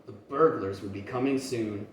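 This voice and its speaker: A man's voice: lowish voice, moderate pace. Man reading a storybook, roomy distant sound. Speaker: kindergarten teacher reading aloud. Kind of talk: expressive children's storybook read-aloud. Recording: roomy, distant mic, faint noise.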